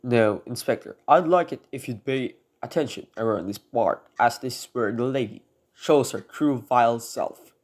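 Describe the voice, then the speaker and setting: A man's voice: mid-range voice, normal speed, lightly acted. A man playing an earnest burglar giving his account to police in a radio drama, solemn and emphatic. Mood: earnest recounting. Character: earnest burglar recounting what he witnessed to police.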